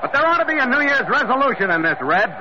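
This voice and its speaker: Through the dull sound and thick hiss of an old radio recording comes a tough skipper. The voice sits high for a man, with a wry, hard-boiled delivery suited to 1940s adventure serials, in narration and dialogue alike. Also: sing-song, fast. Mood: wry banter. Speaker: ship's captain and narrator.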